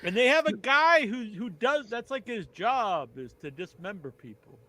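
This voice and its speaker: A man's voice: very high-pitched, normal speed. A man co-hosting a podcast, chatting animatedly and telling little stories. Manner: animated, storytelling, reads chat comments. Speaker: podcast co-host. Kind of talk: casual podcast chat.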